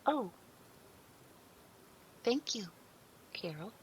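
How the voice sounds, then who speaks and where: A woman's voice: fairly high pitch, very animated, extremely slow, slight background noise, exaggerated voice. A woman playing a polite, childlike artificial intelligence in an audio drama, speaking earnestly with precise, formal, literal wording. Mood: polite, pleased.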